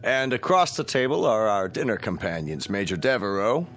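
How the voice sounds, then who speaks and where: Breezy, animated male joker. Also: lowish voice, normal speed, faint music behind. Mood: breezy, sociable. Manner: breezy, joking, insistent. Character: jovial, pushy male ship passenger, wisecracking and sociable. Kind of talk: radio drama acting.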